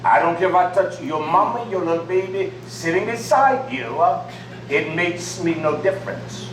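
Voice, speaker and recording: A man's voice: high-pitched, moderate pace. A man preaching a sermon in short, emphatic phrases. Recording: echoey room from far off with faint noise.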